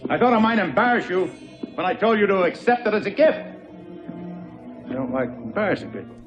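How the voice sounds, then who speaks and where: An actor playing an eager, bumbling shopkeeper in a space-set television show, rambling and ingratiating in folksy sales patter. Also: male voice, high voice, sing-song, brisk, dull audio, faint music behind. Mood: nervous, rambling. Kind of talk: 1970s television variety acting.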